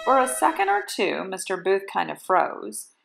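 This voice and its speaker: Female storybook narrator, teacherly and expressive: strong creak at a medium pitch, roomy sound with music behind. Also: moderate pace. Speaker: kindergarten teacher reading aloud.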